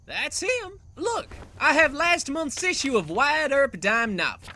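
Excitable gunslinging lawman acted out, high, sharp, melodramatic, cartoon dub with music. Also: a little slow, heavily acted. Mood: eager. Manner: exclamatory, melodramatic. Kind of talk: cartoon comic dub acting.

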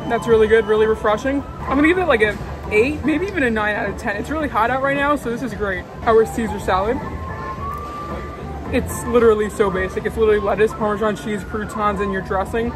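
A man's voice: high voice, a little fast, over music, low-quality recording. A young man in a casual food-review vlog, chatty and frank, often saying "like" and "literally", filmed outdoors at a theme park. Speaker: vlogger.

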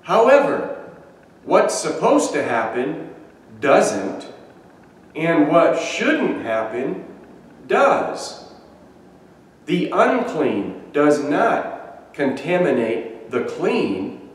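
A man in his sixties, a pastor giving a measured, emphatic sermon with deliberate pauses, filmed by a camera across a small church sanctuary. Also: a little high, huge pitch swings. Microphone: far camera. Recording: echoey church from far off.

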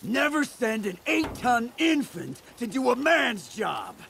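An actor playing a cocky, impatient, sneering supervillain with a rasping voice in a video game. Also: male voice, extremely high pitch, very animated, slow, very noisy, heavily acted. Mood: scornful. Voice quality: very gravelly rasp. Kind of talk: video game villain acting. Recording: loud game music over voice.

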